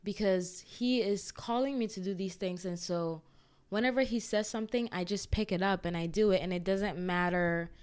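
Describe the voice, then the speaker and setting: Young woman, solo podcast host; casual, excitable chatter, smooth and clear tone. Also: mid-range voice, moderate pace. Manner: chatty and excitable, full of fillers.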